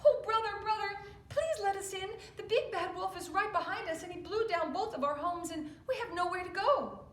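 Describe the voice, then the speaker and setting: A woman voicing two scared little male pigs in a storybook tale, with high, squeaky, pleading voices. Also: lively intonation, moderate pace, hardly any pauses, a bit bright, reverberant.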